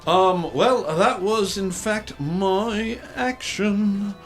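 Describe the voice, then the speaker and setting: A man's voice: sits high, very slow. A man chatting casually as a player at a tabletop roleplaying game. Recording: music behind the voice. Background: music.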